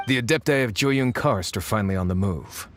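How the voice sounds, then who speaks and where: An actor voicing a cautious male townsman in a game, explaining things in an earnest, lively way. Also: lowish voice, brisk, slight background noise, close to an everyday voice. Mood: concerned. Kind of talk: video game voice acting. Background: faint game music.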